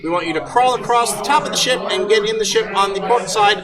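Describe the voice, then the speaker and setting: A man working as game master, narrating a tabletop roleplaying game in a halting, improvised, amused way. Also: high voice. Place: live event at a gaming convention. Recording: live convention hall with crowd noise. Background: audience crowd.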